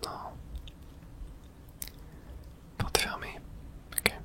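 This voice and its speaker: A man whispering ASMR video game commentary, murmuring short reactions to himself. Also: very slow.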